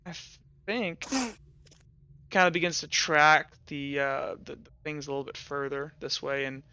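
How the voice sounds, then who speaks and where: A man running a tabletop game, improvising narration and chatting casually in a halting way, often repeating words. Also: fairly high pitch, steady tone, bright, forceful tone, muffled recording, slight background noise. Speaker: game master.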